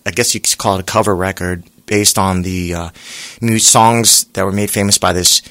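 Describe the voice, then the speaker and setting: A singer being interviewed, talking in a relaxed, rambling way with lots of pauses and fillers. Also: male voice, low voice. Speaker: singer guest. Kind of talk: casual interview chat.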